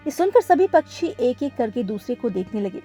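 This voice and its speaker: A woman's voice: fairly high pitch, big pitch swings, moderate pace, warm tone, soft background music. A woman reading scripted narration for a children's cartoon story.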